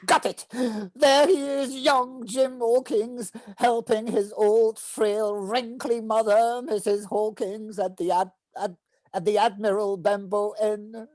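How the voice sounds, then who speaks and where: A woman voicing a friendly, excitable young beetle in a preschool cartoon, playful and theatrical. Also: a little high, takes it slowly, dry recording. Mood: playfully grand. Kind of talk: animated cartoon table read.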